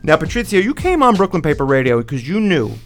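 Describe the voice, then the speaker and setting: A man's voice: a little high, very animated, quick pace, soft background music. A man in his fifties hosting a radio show, bantering and reading ads with wry, joking asides. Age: 50s. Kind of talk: bantering radio talk and live ad reads.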